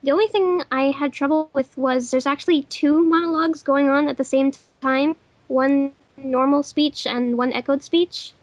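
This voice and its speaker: A woman's voice: sits high, fairly even intonation, normal speed, no room sound, dull audio. A woman chatting casually on a commentary track as a voice actor and sound editor.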